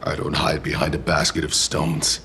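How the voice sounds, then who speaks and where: An actor playing a hard-bitten, sardonic mercenary in a video game cutscene, blunt and terse with a gravelly voice. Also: male voice, very deep voice, flat delivery, normal speed, bright, forceful tone, slight background noise, heavily acted. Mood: cold. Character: hard-bitten, sardonic male mercenary who kills without apology.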